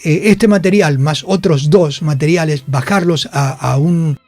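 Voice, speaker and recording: An older man from Buenos Aires, speaking with the local accent in a pitch a little above average. He reminisces conversationally, often checking that the listener follows. The sound is dry, with music playing underneath. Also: unhurried, darkish tone, no room sound. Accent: Buenos Aires Spanish. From Buenos Aires, Argentina. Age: 70s. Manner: conversational, anecdotal, seeks confirmation from listener. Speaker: actor and producer, interview guest. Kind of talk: casual radio interview, reminiscing. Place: radio studio.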